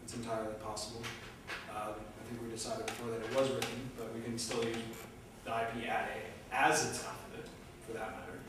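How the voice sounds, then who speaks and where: A man's voice: mid-range voice, monotone, fairly quick. Man, instructor, informal, inquisitive, distant room mic, reverberant classroom. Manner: informal, self-deprecating, asks many questions. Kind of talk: leading a classroom discussion. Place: lecture classroom. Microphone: far room mic. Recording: echoey classroom from far off, noisy.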